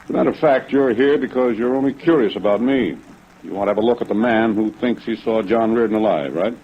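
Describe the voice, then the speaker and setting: Actor as a low-voiced, earnest, insistent gentleman. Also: fast, natural voice. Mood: polite, appraising. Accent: American. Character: earnest, insistent older businessman, courteous but uneasy. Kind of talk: 1950s radio drama acting. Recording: muffled vintage radio recording, faint noise.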